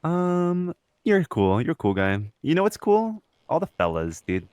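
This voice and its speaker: A man's voice: on the high side, huge pitch swings, measured pace, a little mellow, no echo. A man chatting casually and with slang as a podcast co-host.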